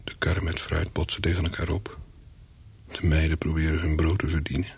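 Wary English hotel guest, very husky, low-pitched, measured, reminiscing, radio drama. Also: male voice, monotone, exaggerated voice. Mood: brooding, reflective. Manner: measured, brooding, clipped short sentences. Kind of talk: radio play, first-person narration with dialogue.

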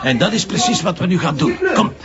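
An actor playing an impatient, sardonic police commissioner with a Flemish accent, brusque and pressing in a TV detective drama. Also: male voice, sits high, very animated, a little fast, a loud crowd. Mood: businesslike, impatient. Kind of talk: naturalistic TV detective drama dialogue. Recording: muffled distant sound with background noise.

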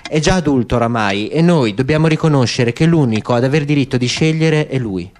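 An elderly woman of resolute, troubled temper speaks earnestly in a radio drama, acted out by a man. The pitch sits in a middle male range, with slight noise in the recording. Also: animated, fairly quick, a little mellow, close to an everyday voice. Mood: pleading, firm.

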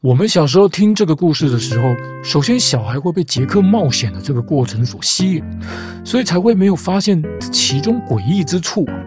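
A man's voice: a little high, sing-song, a little fast. Guy hosting a story podcast, teasing tone, voice bouncing all over, guitar strumming behind. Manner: playful, teasing, mock-reasonable. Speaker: podcast host. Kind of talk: storytelling commentary. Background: guitar music.

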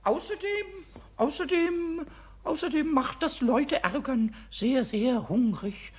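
A man voicing a cheeky, excitable little kobold with a squeaky, insistent delivery in a children's radio play. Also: slow. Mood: pedantic, cheeky. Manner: emphatic, indignant, repeats words insistently.

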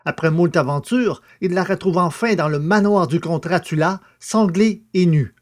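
A man with a slightly high voice delivers scripted narration for an audio drama serial. He acts as the narrator, recapping in a storytelling register. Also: normal speed.

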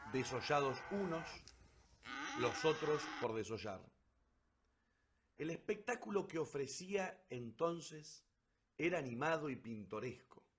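A man narrating a literary story for radio theatre in an expressive, declamatory way with ironic emphasis. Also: medium pitch.

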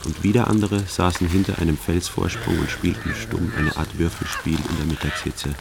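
A man playing a secretive, world-weary army sergeant in a radio drama, measured and matter-of-fact. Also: low voice, level tone, vocal fry, very noisy. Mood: dry, measured. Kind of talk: radio drama, dry first-person narration.

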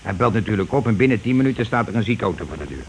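An actor playing a coarse, cocksure scheming husband in a radio play, talking insistently and impatiently in colloquial speech. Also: male voice, lowish voice, expressive, racing. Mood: scheming, bossy. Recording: noisy old radio drama recording.

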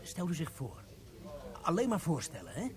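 A man's voice: a little high, wildly swooping pitch, moderate pace, crowd noise. An actor playing a young soldier who coaxes his sergeant into a plan, in a radio play. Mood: coaxing. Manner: eager, coaxing, conspiratorial. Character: scheming young male soldier plotting a heist.

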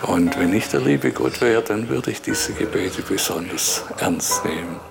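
Male interviewee, very low and creaky, reflective, heard over music. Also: expressive, a little slow. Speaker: interviewed acquaintance of a prisoner.

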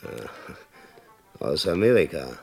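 Visiting reporter, very low voice, terse and politely curious, vintage mono radio drama. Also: male voice, sing-song, slow pace, creaky, warm tone, husky, exaggerated voice. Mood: curious, reserved. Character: curious American newspaper reporter, reserved and polite. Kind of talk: 1960s German radio western acting. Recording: vintage mono radio play with faint music.

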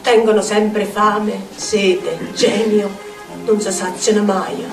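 An actress playing a tormented, eccentric Neapolitan outcast haunted by spirits, in a theatrical monologue with a Neapolitan accent and incantatory, deliberate repetitions. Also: female voice, medium pitch, steady tone. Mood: brooding, weary. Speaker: stage actress. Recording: echoey theatre audio, distant, music behind.

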